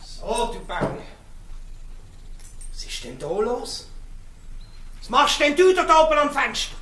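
A blustering, bad-tempered jailer in a Swiss German dialect radio drama. He barks and scolds in an extremely high, forceful, cutting voice. The sound is echoey. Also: big pitch swings, slow pace, heavily acted. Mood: angry. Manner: barking, scolding. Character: gruff, angry, blustering male prison warden. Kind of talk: radio play acting in dialect. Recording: echoey, reverberant space.

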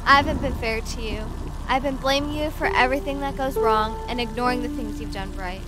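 A woman's voice: fairly high pitch, a little fast, natural voice. An actress playing a stubborn, guarded young woman in an audio drama, curt and earnest in manner. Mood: contrite, earnest. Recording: music under the voice.

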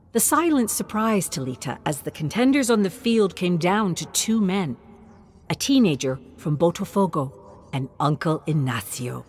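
A woman reading scripted story narration in an expressive, dramatic and deliberate way, with emphatic phrasing. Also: fairly low pitch, a little hiss or hum. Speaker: narrator of game lore.

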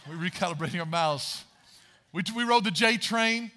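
A man in his forties delivers a sermon from a large church stage, his voice pitched high and bright. He preaches with rising emphasis and poses questions to the audience, heard through a headset mic. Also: normal speed. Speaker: pastor.

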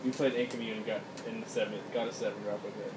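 A man's voice: lowish voice, level tone, fast. A man hosting a casual card game video, chatting with viewers in a laid-back, chuckling way as he plays at a table. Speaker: card game player and channel host. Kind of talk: casual play commentary. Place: indoor room at a game table. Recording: roomy, distant, noisy phone capture.

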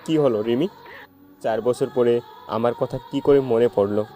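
A man's voice: medium pitch, moderate pace, mellow, faint music behind, close to an everyday voice. A man voicing a heartbroken, jilted young lover in an amateur audio love-story drama. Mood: bitter, mocking.

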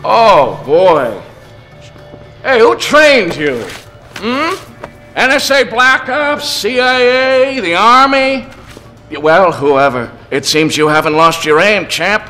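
Sadistic middle-aged hitman, bright, sneering, slow. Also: high-pitched, lively intonation, soft background music, heavily acted. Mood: taunting. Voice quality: sneering, theatrical, swings from hushed to shouting. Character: gleeful sadistic male hitman, mocking. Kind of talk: film dialogue.

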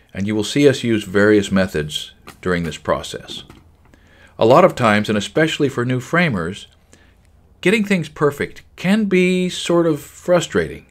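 An older man giving a measured, explanatory voice-over for a house framing lesson. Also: on the low side. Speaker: framing instructor. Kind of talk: scripted voice-over narration.

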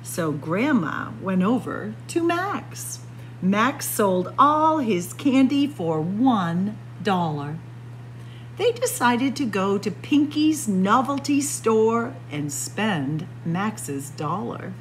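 Woman, children's read-aloud, expressive, deliberate, slow pace, faint background noise. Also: mid-range voice, poor audio. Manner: expressive, deliberate, addressing young listeners as friends. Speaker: librarian storyteller. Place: indoor library room with bookshelves.

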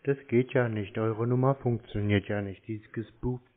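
A man bantering casually on a phone call in a mocking, deadpan way. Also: lowish voice, moderate pace. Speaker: host of a scam-baiting show, prank caller. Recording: narrow tinny phone-line sound.

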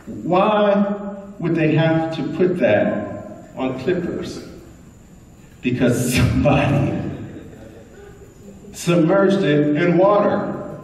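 A middle-aged man preaching a lively sermon, repeating phrases and prompting his congregation. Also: a little high, drawn-out words, long pauses, slight background noise. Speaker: pastor. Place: large bright church hall indoors. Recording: echoey hall recorded from far off.

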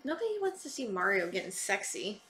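A woman's voice: mid-range voice, very animated, brisk, heavy background noise. A woman in her thirties chatting casually as she reacts to a film, incredulous and exclamatory. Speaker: host reacting to a film with two boys. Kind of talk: casual movie reaction chat. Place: living room sofa. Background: film soundtrack.

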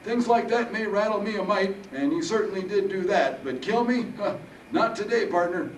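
A man voicing a stubborn, wisecracking old military veteran in a storybook reading, with folksy, gruff talk. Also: sits high, normal speed, voice pushed up, natural voice. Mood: defiant, teasing. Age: older. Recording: roomy, distant mic, faint noise.

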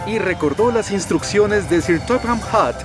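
A man's voice: high-pitched, normal speed, over music, close to an everyday voice. A man with a Latin American accent narrating a children's train cartoon as a storyteller. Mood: storytelling.

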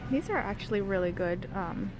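A woman in her thirties talks her way through a shopping trip in a casual vlog, voice mid-pitched. She sounds wry and chatty about what things cost. The phone picks up a steady wash of store and outdoor noise behind her. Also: normal speed. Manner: chatty, wry price commentary. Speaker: vlogger. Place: outdoor parking lot, then inside a store.

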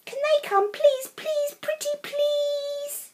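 A woman voicing eager little lion cubs in a storybook, wheedling and excitable like small children. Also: extremely high pitch, slow pace, noisy background, voice pushed up. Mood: pleading.

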